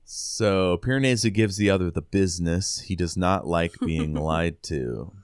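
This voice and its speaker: A man co-hosting a podcast, casually recapping and discussing a book in a halting way. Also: sits low, clean tone, no echo, studio quality. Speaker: podcast co-host.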